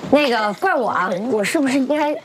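An actress playing a sociable neighbourhood auntie in a television drama. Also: female voice, high-pitched, expressive, fairly quick, over a noisy crowd. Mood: festive, fussy.

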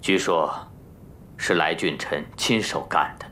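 A man acting an old, cautious Taoist strategist and minister in a historical drama, speaking formally and deliberately. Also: extremely low pitch, a little hiss or hum, full character voice. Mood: grave, musing. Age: older. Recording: loud music behind the voice.

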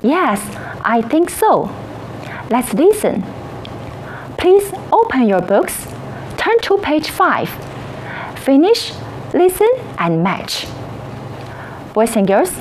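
Slow, sing-song woman teacher. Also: high voice, big pitch swings, darkish tone, slight background noise, poor audio. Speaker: English teacher. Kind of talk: children's language lesson.

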